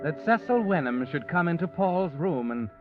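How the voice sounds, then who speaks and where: A man's voice: a little high, expressive, moderate pace, lightly acted. An actor playing the brooding, all-knowing male narrator of a 1940s radio drama, speaking straight to the hero in an insinuating tone. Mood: ominous. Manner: addresses the hero directly, insinuating. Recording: dull old radio broadcast with music.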